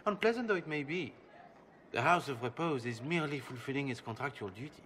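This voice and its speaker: Man acting a bright-voiced, courtly count. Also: mid-range voice, lively intonation, quick pace, slight background noise, lightly acted. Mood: smoothly matter-of-fact. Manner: formal, gracious, courtly. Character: courtly, smooth, calculating nobleman count. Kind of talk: video game cutscene acting.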